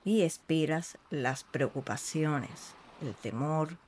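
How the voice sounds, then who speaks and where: A meditation guide speaking in a soothing, unhurried way with long pauses between short phrases. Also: mid-range voice, darkish tone, a little hiss or hum. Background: ambient sea sounds.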